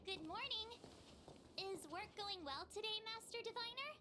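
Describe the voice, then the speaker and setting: A bubbly young girl adventurer from a video game. The voice is extremely high, easygoing and casual. Faint noise sits in the stream capture. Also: animated, normal speed. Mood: cheerful.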